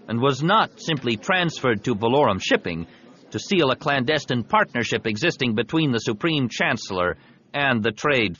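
A man voicing a formal, insistent senator addressing judges in an audiobook, with deliberate oratory. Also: mid-range voice, a bit bright, muffled recording, voice pushed up.

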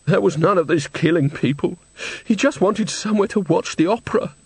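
A man's voice: high voice, brisk, breathy edge, no echo, voice pushed up. A man reading a storybook, voicing a frightened, tearful little old woman who speaks in a fretful, plaintive, sniffling way. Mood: tearful distress.

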